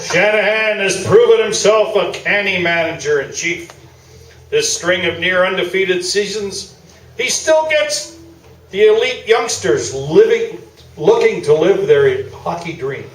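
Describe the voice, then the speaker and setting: A man's voice: high voice, expressive, unhurried, a bit bright. A man playing a pompous, self-satisfied newspaper sports columnist in a live staged radio play, talking in grandiloquent phrases. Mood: proud, grandiose. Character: pompous, boosterish male newspaper sports columnist, staunchly loyal. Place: small theatre stage, indoors. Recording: roomy, distant live theatre video, noisy.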